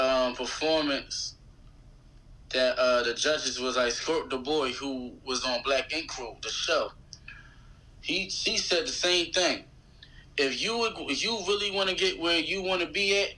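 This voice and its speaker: An American man talks casually as a guest on a podcast. His voice sits a little high and has a bright, forceful edge. He leans on slang and repeats his phrases to press a point. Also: rough recording. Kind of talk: casual chat.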